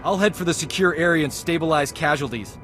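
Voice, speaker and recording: An actor voicing a gruff male chief medic in a video game, giving blunt, clipped orders. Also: fairly high pitch, quick pace, fairly bright tone, natural voice. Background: music.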